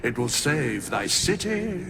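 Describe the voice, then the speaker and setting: An actor playing a solemn, ancient undead sage in a fantasy game, speaking in a grave, archaic, measured way. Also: male voice, fairly high pitch, sing-song, vocal fry, background noise, full character voice. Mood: solemn prophecy.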